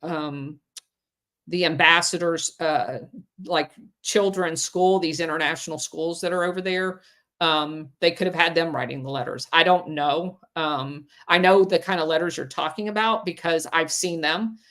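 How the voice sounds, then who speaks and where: A woman's voice: low-pitched, fairly even intonation, moderate pace, fairly bright tone. A woman hosting a call-in podcast, giving plain-spoken advice with frequent ums. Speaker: podcast host.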